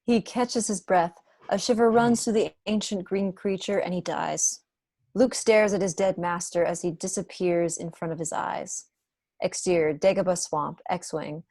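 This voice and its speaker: A woman delivers scripted narration for a home table read. Her voice is a bit low for a woman, and the sound is dry with little room in it. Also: fairly even intonation, a little slow, dark tone, no echo. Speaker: reader of stage directions. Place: home room, on a video call.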